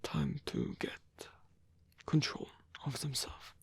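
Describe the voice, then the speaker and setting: Casting: male actor as ancient vampire, husky close whisper, wistful and flirtatious, halting delivery, intimate ASMR. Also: medium pitch, wildly swooping pitch, dry recording, exaggerated voice. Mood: earnest, reflective. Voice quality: breathy, whispery. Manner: halting, murmured, pensive.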